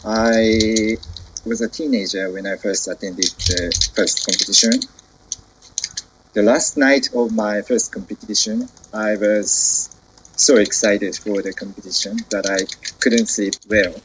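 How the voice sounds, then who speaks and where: A man in his twenties with a Japanese accent, introducing himself carefully and haltingly on a video call. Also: lowish voice, fairly even intonation, a bit bright, slight background noise, rough recording. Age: 20s. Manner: careful, halting, searching for words. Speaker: committee member. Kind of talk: self-introduction in a meeting.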